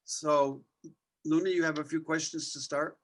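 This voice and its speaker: A man's voice: on the high side, little pitch movement, normal speed. A man, a missionary priest, who co-hosts the show and introduces the guests in a genial, joking way. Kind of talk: casual interview.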